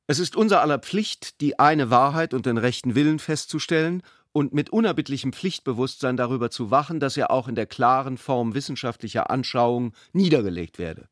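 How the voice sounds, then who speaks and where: A man's voice: medium pitch, expressive, a little fast, hardly any pauses, no echo, studio quality, natural voice. A man voicing a pedantic, self-important elderly father, a jurist, reading out a formal, long-winded letter in a radio drama. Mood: solemn, admonishing. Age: older.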